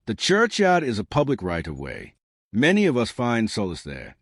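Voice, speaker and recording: A male narrator plays an elderly village lady sleuth in a mystery audiobook. She is polite, soothing and gently inquisitive, with expressive pitch movement and a dry, close sound. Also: normal speed, dry recording, full character voice. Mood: mildly curious. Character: genteel elderly woman sleuth, mild, soothing, politely probing.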